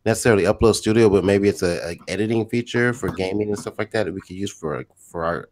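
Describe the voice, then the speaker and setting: A man's voice: fairly low pitch, fast talker, darkish tone, slight background noise. A man on a gaming podcast casually explaining a news item. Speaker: podcast co-host.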